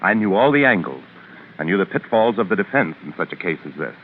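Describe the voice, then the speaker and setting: An actor playing a proud, calculating New York criminal lawyer with a guilty secret, narrating in a measured, articulate 1940s radio drama style. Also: male voice, deep voice, sing-song, a little hiss or hum. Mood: coldly analytical. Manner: measured, articulate, self-important. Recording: narrow, muffled vintage radio recording with music.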